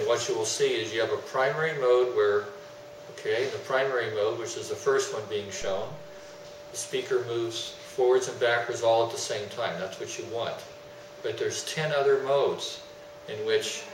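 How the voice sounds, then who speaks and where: A man giving an explanatory technical presentation in a deliberate, didactic way, stressing his key terms. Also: medium pitch. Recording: roomy, distant mic, faint hum.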